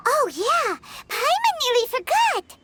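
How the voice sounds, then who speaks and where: A woman voicing a tiny, childlike fairy companion in a video game, excitable and pushy, exclaiming and pleading. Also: very high-pitched, measured pace, very noisy, full character voice. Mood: chatty, curious. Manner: speaks of herself in third person, exclaiming and pleading. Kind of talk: animated video game dialogue.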